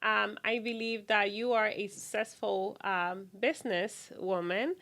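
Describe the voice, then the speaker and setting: Woman host, bright edgy tone, gushes and rambles through questions. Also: medium pitch, fairly even intonation, measured pace. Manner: effusive, rambling questions with fillers. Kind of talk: casual interview hosting.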